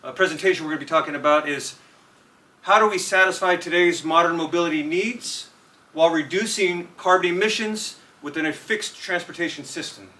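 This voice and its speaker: A man's voice: fairly high pitch, a little fast, sharp tone. A man from California, presenting a student project to a live audience and talking straight to them. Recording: distant mic with light noise in a room.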